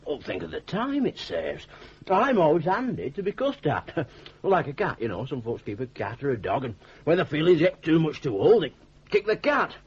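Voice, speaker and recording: A man's voice: on the high side, wildly swooping pitch, moderate pace, breathy edge, heavily acted. An actor playing a cheerful, bumbling, self-deprecating idle young man in a radio drama, rambling artlessly in a Northern English accent. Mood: cheerfully self-deprecating. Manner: rambling, artless, chuckling, homely dialect. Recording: dull 1980s radio drama recording.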